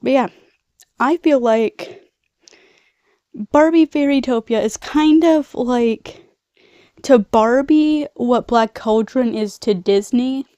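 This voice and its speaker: Young woman, congested nasal tone, higher pitch, soft mellow timbre, casual rambling vlog commentary, close dry sound. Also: takes it slowly, pauses often, no room sound, lo-fi sound. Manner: rambling, girly slang, tangents. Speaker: video host, film recapper. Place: bedroom.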